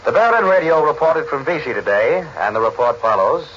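A man reading news bulletins as a formal, deliberate 1940s radio announcer, on an old broadcast recording. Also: fairly high pitch, big pitch swings, fairly bright tone, dull audio, a little hiss or hum.

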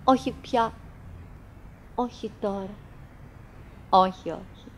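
An actress playing a wistful middle-aged woman who chatters bravely to her husband in halting, theatrical radio drama monologue. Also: medium pitch, slight background noise, lightly acted. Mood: reflective, halting. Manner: halting, fragmented, dramatic, swinging between murmurs and calls.

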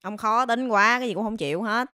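A woman's voice: on the high side, brisk, background noise, lightly acted. A woman voicing a small, mischievous cartoon rabbit, cheeky and nonchalant, with a southern Vietnamese accent. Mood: exasperated. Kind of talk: animated comedy dialogue. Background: music.

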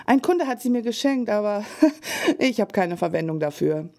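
A woman voicing Shirley, a mysterious older medium in an audio drama, speaking in slow, halting visions. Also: mid-range voice, animated, a little mellow, lightly acted. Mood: knowing. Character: mysterious older female fortune teller. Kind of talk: German fan audio drama.

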